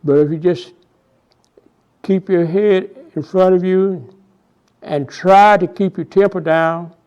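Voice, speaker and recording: Really old texas man, southern accent, super slow, keeps restarting words, kinda muffled lo-fi audio. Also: high-pitched, fairly even intonation, smooth. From Houston, Texas. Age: 90s. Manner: halting, many repeated words and restarts. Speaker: interviewee, oral history. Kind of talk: reminiscing oral history interview.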